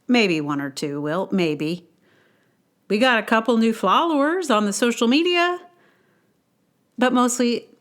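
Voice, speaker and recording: Conversational woman with a wry delivery. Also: mid-range voice. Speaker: podcast host and audio drama producer. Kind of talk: personal essay read aloud, then casual aside.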